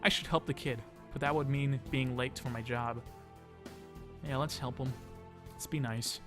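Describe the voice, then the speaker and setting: A man's voice: medium pitch, fairly even intonation, normal speed. A man reading a visual novel aloud as a let's play host, dramatizing the story and dropping in casual asides. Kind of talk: expressive read-aloud narration of a visual novel. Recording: music behind the voice. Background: game music.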